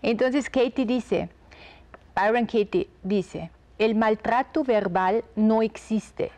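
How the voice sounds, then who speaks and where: A woman with an Austrian accent, a guest on a talk show, reading a reflective passage aloud in a gentle, thoughtful way. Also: fairly high pitch, slow. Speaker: guest expert.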